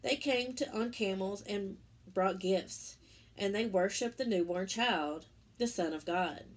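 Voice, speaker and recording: Husky-voiced woman reading a children's story aloud. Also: mid-range voice, unhurried. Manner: simple explanatory asides addressed to young listeners. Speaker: children's story-time reader and teacher. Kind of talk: read-aloud of a children's religious picture book with asides.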